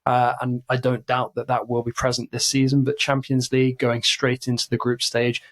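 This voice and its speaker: A man speaking as a football pundit on a podcast panel, giving long, analytical answers. His voice sits in a middle register, neither high nor low. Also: monotone, normal speed, fairly bright tone.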